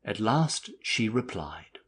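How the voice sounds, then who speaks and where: Husky male literary narrator. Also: lowish voice, very animated, slow pace, a bit bright. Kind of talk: scripted literary audiobook narration.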